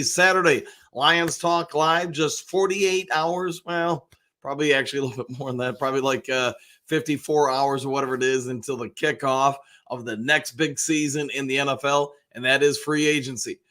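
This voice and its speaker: An American man with a slightly hoarse voice, chatting in a rambling, conversational way as he hosts a casual live sports fan show. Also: mid-range voice, steady tone, normal speed, a bit bright. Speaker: sports show host, basketball coach and realtor.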